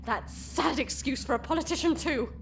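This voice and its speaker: A woman's voice: high voice, normal speed, gravelly, background noise. A woman voicing a vain, scheming empress villain who gloats theatrically and snaps into sudden outbursts. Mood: gloating. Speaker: voice actor. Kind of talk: hobby audio drama acting.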